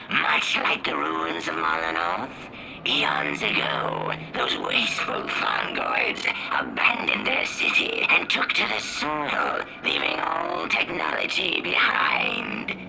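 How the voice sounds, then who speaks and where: A calm alien storyteller in a video game, measured and mysterious. The low voice creaks as it unfolds solemn lore at a slow pace. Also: male voice, slight background noise, heavily acted, poor audio. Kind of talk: video game character narration.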